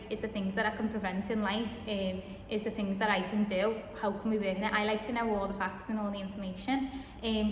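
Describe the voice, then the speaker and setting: Girl late 20s telling her story, lots of ums, echoey room with a bit of hum. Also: medium pitch. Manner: chatty, frequent ums and restarts. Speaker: vlogger. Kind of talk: personal storytelling to camera.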